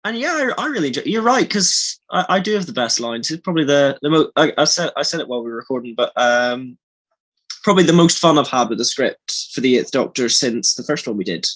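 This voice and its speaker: A man's voice: mid-range voice, animated, a little fast, a bit bright. A man with a mid-range voice talks as a voice actor in casual podcast chat. The delivery is bantering, with words repeated while the sentence takes shape. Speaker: voice actor on a behind-the-scenes podcast.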